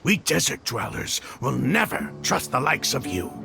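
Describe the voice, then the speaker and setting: A man's voice: medium pitch, sing-song, measured pace, vocal fry, over music, heavily acted. A man voicing an arrogant, zealous desert mercenary leader in a game cutscene, scornful and mocking.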